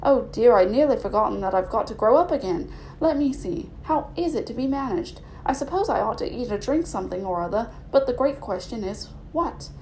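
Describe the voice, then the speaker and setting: A woman's voice: fairly high pitch, expressive, fairly quick, a little mellow, a little hiss or hum, low-quality recording. A woman voicing a storybook little girl who is polite, puzzled and a little hesitant. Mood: musing, flustered. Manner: polite, shy, hesitant, wordy.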